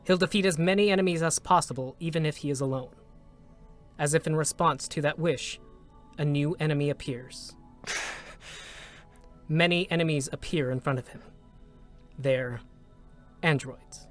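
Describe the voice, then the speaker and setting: A male narrator with a fairly high-set voice reads dramatic script solemnly. His phrases are short and deliberate, measured out over faint music.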